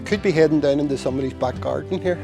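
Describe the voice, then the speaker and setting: An Irish man narrates a casual walking tour as he goes, chatty and rambling, with self-deprecating asides. He sounds a little chesty. Music plays clearly underneath his voice. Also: a little high, very fast. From Ireland. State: chesty, with a tickly cough. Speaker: travel vlogger. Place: outdoors walking through narrow old-town streets.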